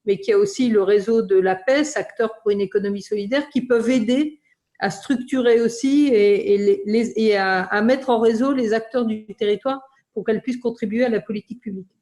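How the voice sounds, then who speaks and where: A woman who is an elected city official, giving an explanatory answer in an online meeting, with measured, hesitant phrasing. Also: fairly high pitch, warm tone. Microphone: video call.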